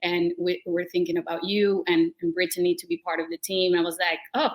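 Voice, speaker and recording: A woman's voice: fairly low pitch, flat delivery, brisk, poor audio. An effusive woman speaking informally as a panelist in a casual discussion. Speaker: panelist, nonprofit co-founder.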